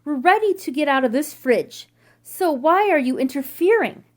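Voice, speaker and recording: A woman's voice: sits high, animated, normal speed. A woman voicing a lazy, blunt boy tomato in a storybook, with a drawling, direct manner. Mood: impatient.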